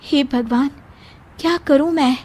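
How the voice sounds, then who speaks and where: Narrator lady doing a worried young village wife, high earnest voice, pleading, kids cartoon story. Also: unhurried, a little mellow. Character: anxious but resourceful young village wife.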